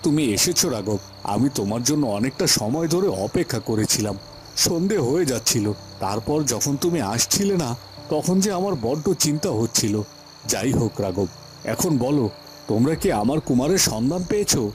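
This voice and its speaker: A man's voice: mid-range voice, expressive, fairly quick, noisy background, close to an everyday voice. A man playing an anxious, doting king who grieves for his son in a fairy-tale cartoon, emotive and repeating phrases in agitation. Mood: worried. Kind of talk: Bengali fairy-tale cartoon dramatic acting.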